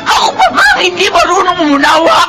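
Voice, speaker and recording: A woman's voice: very high voice, wildly swooping pitch, quick pace, music in the background, heavily acted. An actress playing a weary, overburdened Filipino mother of troubled grown children, in an emotional radio drama style. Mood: tearful outburst. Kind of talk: Philippine radio drama acting.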